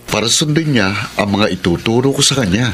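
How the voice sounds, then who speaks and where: A man's voice: medium pitch, lively intonation, a little fast, fairly bright tone, soft background music, lightly acted. An actor playing a confident young man who shows off and coaches, in a Filipino radio drama. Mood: boastful. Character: confident young man showing off his girlfriend, coaching friend.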